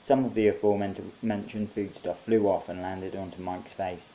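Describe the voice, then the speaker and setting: A man's voice: sits low, little pitch movement, normal speed, warm tone, a little hiss or hum, low-quality recording. A man narrating his own short story as an author reading from a script.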